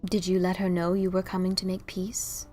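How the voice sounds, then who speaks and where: A woman playing a calm, wise and mysterious female pony, speaking formally and with gentle, measured probing in an audio drama. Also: fairly low pitch, faint music behind, natural voice. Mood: gently probing. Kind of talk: audio drama dialogue of fan fiction.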